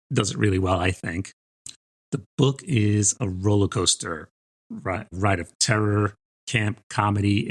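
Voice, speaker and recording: A man's voice: fairly low pitch, slightly rough. A man co-hosting a book podcast, giving a conversational, good-humoured review.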